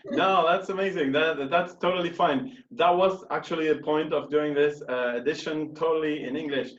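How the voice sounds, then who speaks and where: Host, chatty, lots of ums, far from the mic, roomy muffled call. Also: male voice, fairly high pitch, fairly even intonation, normal speed. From Argentina. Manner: chatty, many fillers and restarts. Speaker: radio host. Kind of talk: casual interview in English.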